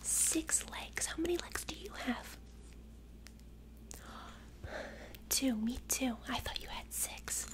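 A young woman in an ASMR roleplay as a childcare worker, talking gently and simply to a small child with lots of questions. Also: sits high, takes it slowly, pauses often. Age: young adult. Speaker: ASMR creator playing a preschool childcare worker.

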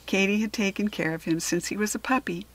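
A woman's voice: mid-range voice, fast, no room sound, low-quality recording. American woman reading a children's story. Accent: standard American. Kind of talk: children's chapter book read-aloud.

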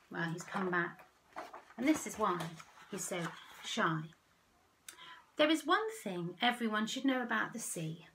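A woman's voice: mid-range voice, a bit bright, a little hiss or hum, low-quality recording. Woman reading a children's picture book. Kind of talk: expressive picture-book storytelling for young children. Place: outdoors in a back garden.

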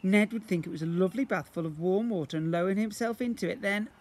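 A British man in his fifties reads a children's storybook aloud like a teacher, theatrically, with drawn-out emphasis and acted sound words. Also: high voice. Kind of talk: expressive children's storybook reading. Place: outdoors beside brick houses. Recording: noisy outdoor amateur video recording.

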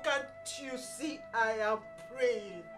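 A devout, indignant older sister. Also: female voice, a little high, slow pace, over music. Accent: Nigerian. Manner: earnest, indignant pleading. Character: devout older sister, pleading then defiant.

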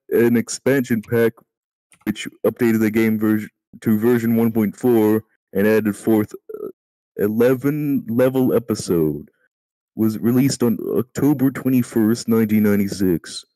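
A man reads an article aloud in a mellow, relaxed voice with a dry, close sound. He trips over words, repeats himself and swears casually as he goes. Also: mid-range voice, measured pace, no room sound, rough recording. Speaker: amateur reader in a group voice call. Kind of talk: reading an encyclopedia article aloud.

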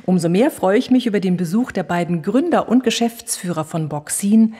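A woman's voice: medium pitch, expressive, brisk, dark tone, husky, big-room sound. A woman hosting an interview podcast, giving prepared introductions and asking questions.

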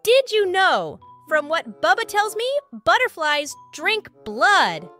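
A performer voicing a childlike cartoon cat in a comic dub, squeaky, anxious, grumbling and snarky, with music behind. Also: sing-song, takes it slowly, sharp tone, heavily acted. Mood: earnest. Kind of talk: comic dub character acting.

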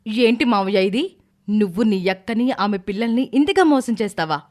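A woman's voice: medium pitch, lively intonation, moderate pace, a little mellow, natural voice. A woman playing an angry, accusing young niece in a family drama story, confrontational and sarcastic.

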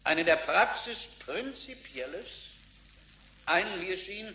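A man's voice: sits high, lively intonation, measured pace, pushed and bright, heavily acted. An actor playing an eminent male physicist giving a pompous, declamatory public address in a radio drama, in an echoing hall. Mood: solemn, declamatory. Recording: echoing hall, faint noise.

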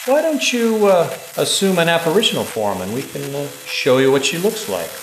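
A man playing a serious government agent in an audio drama, formal, measured and persuasive. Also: on the high side, big pitch swings, crowd noise. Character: serious male government agent recruiting a spy. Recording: echoey room, faint noise.

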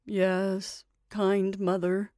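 A woman voicing a timid male scarecrow newly come to life in a storybook, with a stifled, breathy murmur and a halting, meek manner. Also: medium pitch, completely flat delivery, darkish tone, no room sound, studio quality. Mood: obedient.

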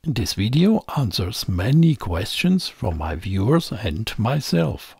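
A man's voice: lowish voice, very animated, takes it slowly, vocal fry, a little mellow, breathy edge, no echo. A man presenting a scripted technical explainer in a didactic, emphatic way, as a close voice-over. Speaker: presenter of an electronics channel.

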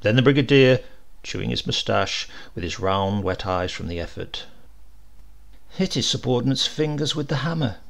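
A man reading a comic short story aloud as an expressive audiobook narrator. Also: medium pitch, moderate pace, gravelly.

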